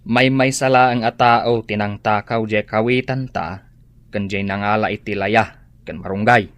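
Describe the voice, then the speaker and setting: A man's voice: on the low side, steady tone, measured pace, fairly bright tone, no echo. A man with an Ilocano Filipino accent playing a sly, scheming husband in a comedic radio drama. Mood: suspicious.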